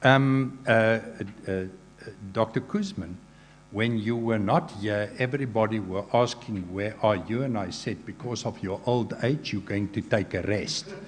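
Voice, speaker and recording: A man chairing a conference panel whose first language is Afrikaans, talking haltingly with many hesitations and light jokes. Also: lowish voice, in a large hall, a little hiss or hum. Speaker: session chair.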